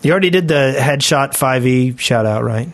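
A man's voice: medium pitch, moderate pace. A man co-hosting a casual podcast, chipping in with a wisecracking manner. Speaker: podcast co-host. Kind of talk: casual chat, joking interjections.

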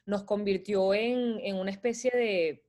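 A woman from Venezuela speaks with a Venezuelan accent in a casual interview. She talks in a measured, thoughtful way with some hesitant restarts, her voice at a middle pitch. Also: steady tone, a little mellow. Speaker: guest.

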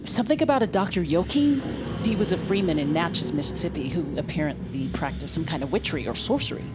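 A woman's voice: low voice, lively intonation, quick pace, over loud music. An actress playing an earnest, troubled woman investigating an occult mystery in an audio drama. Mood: intent.

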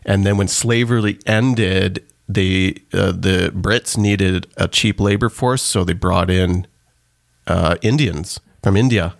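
A man's voice: deep voice, slow pace, vocal fry, dry recording, studio quality. A man from Trinidad, an author and pastor, speaking earnestly as an interview guest and explaining his ideas at length. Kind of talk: interview conversation.

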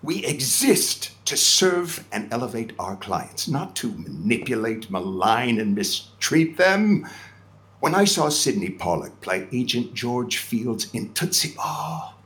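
An actor playing a suave, indignant senior talent agent with a theatrical, scolding, emphatic manner. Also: male voice, mid-range voice, big pitch swings, slow pace, fairly bright tone, exaggerated voice. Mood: lofty scolding. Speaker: actor in a scripted audio comedy drama.

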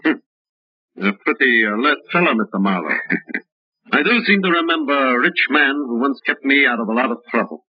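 An actor playing a reserved, kindly older plant boss in a 1940s radio drama, speaking in a measured, courteous way. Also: male voice, medium pitch, expressive, slightly rough. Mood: reflective, amused. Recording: dull, muffled old radio recording.

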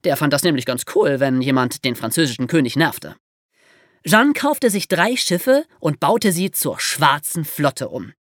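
A woman telling history as a podcast host, in a lively explanatory storytelling style for young listeners. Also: on the low side, no room sound, studio quality.

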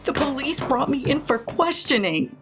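A woman's voice: high voice, very animated, moderate pace, fairly bright tone, soft background music. A woman playing a defensive, rattled professional caregiver in an audio drama, earnest and emphatic. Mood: rattled, explaining. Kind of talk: naturalistic audio drama acting.

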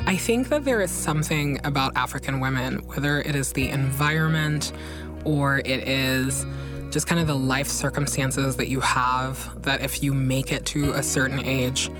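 A woman's voice: a bass voice, moderate pace, music in the background. A woman from Guinea and Belgium talking candidly and informally as an interview guest, repeating phrases for emphasis. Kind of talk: candid casual conversation.

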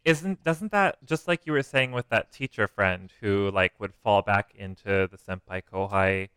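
A man's voice: on the low side, normal speed, a bit bright, clean tone, no echo. An American man co-hosting a podcast, curious and chatty, asking questions and chuckling. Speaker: podcast co-host. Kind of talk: casual podcast chat.

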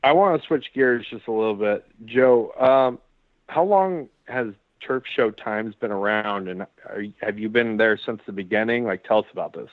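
A man's voice: lowish voice, normal speed, no room sound. Brief: podcast co-host, man, emphatic and swearing, tinny dry call audio. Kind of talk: casual podcast chat.

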